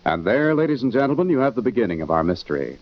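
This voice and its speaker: A man's voice: mid-range voice, sing-song, very fast. A man announcing a mystery show in scripted 1940s radio narration. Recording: dull old radio recording. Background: organ music.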